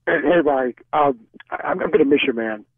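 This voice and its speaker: A man's voice: medium pitch, moderate pace, slightly rough, no room sound. A man calling a radio show, chatting casually in an effusive, rambling way over a tinny phone line. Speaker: radio call-in listener. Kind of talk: casual chat.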